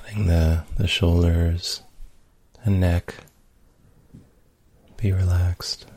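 Very slow, deep-voiced male meditation guide. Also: flat delivery, slightly rough. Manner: gentle, unhurried, long pauses. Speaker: meditation teacher.

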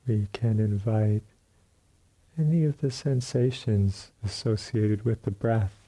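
A very dark, mellow man's voice with a husky edge guides a meditation. He speaks as a teacher, in gentle phrases separated by long pauses. The delivery is slow and unhurried. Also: on the low side. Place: retreat center meditation hall.

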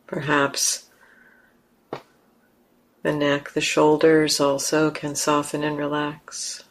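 Slow, even-toned woman guiding meditation. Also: sits low, poor audio. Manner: gentle, unhurried, long pauses between phrases. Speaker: meditation teacher. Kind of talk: guided meditation.

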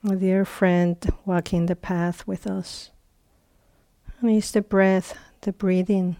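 A woman teaching guided meditation, speaking in short, unhurried phrases with long pauses between them. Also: lowish voice, flat delivery, mellow, dry recording.

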